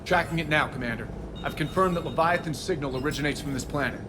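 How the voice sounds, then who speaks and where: A man plays an easygoing pilot in a sci-fi game, reporting casually. His voice sits fairly high, with steady background noise. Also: fast, lightly acted.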